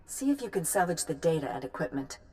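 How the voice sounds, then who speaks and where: A woman's voice: sits low, very animated, fast talker, darkish tone. A woman playing a pragmatic, hard-nosed military science commander in a video game, clipped and businesslike. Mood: brusque. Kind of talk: video game character acting.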